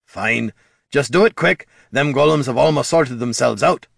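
A man voicing a gruff, irritable dwarf warrior in a fantasy audiobook, barking and snapping in salty rustic speech with a growl. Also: medium pitch, moderate pace, fairly bright tone, no room sound, clean studio sound, pitched above the performer's own voice.

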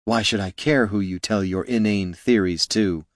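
A man's voice: fairly low pitch, moderate pace, no echo, clean studio sound, close to an everyday voice. Man voicing a curt, scornful fantasy woman. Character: proud, dismissive woman, easily exasperated.

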